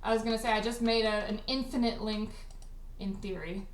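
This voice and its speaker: A woman's voice: fairly high pitch, steady tone, brisk. A woman chatting casually on a livestream, giggly and teasing with her friends. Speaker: cast or crew member hosting a livestream party. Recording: roomy, echoey call audio.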